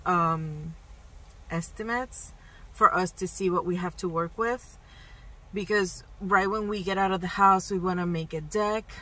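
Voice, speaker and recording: A woman's voice: lowish voice, a bit bright, distant mic, low-quality recording. A woman in her thirties vlogging casually for her plant channel, shivering from the cold and rambling with lots of fillers, filmed on a phone at arm's length in a truck. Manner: chatty and rambling, many fillers. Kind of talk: casual vlog chat. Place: inside a truck cab, earlier outdoors at a plant nursery. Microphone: phone held at arm's length.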